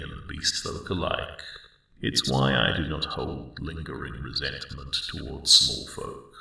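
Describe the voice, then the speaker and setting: A man voicing a friendly, elderly male dragon in an ASMR roleplay, rambling slowly and wryly in a deep, gravelly rumble. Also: heavily acted. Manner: slow, rambling, reflective, gently wry. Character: friendly ancient male dragon, mellowed guardian of a spring. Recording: roomy cave-like echo, distant sound.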